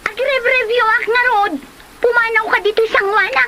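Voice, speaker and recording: A woman's voice: very high voice, steady tone, moderate pace, pushed and bright, a little hiss or hum. A woman with an Ilocano Filipino accent acting a studious, exasperated schoolgirl daughter in an amateur comedy drama. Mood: annoyed.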